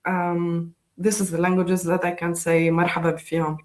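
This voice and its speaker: A young woman from Tunisia with a Tunisian accent, hosting a live online session in a halting way with frequent fillers. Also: on the low side, flat delivery, darkish tone. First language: Tunisian Arabic. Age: young adult. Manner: halting, many fillers, switches between English, Arabic and French. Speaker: session host. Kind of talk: live online panel moderation.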